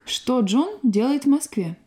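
A woman reading scripted sentences and exercise prompts for a language course in a clear, measured way. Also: sits high, big pitch swings, dark tone. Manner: clear, measured, textbook delivery.

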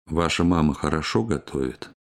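A man reading a scripted language drill, measured and clear. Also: rumbling low, lively intonation. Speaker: language course speaker.

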